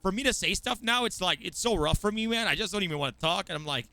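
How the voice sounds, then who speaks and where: A man's voice: high-pitched, lively intonation, quick pace, bright, forceful tone, no room sound. A man from the US Virgin Islands hosting an esports broadcast, stammering and repeating words in a loose, slangy chat. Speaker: esports commentator and host. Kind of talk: casual interview chat.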